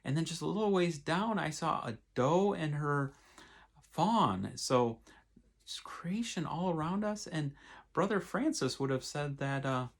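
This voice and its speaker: A man, a pastor, reading and talking to children in an expressive storytime style. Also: fairly high pitch, measured pace. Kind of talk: expressive storybook read-aloud with casual asides.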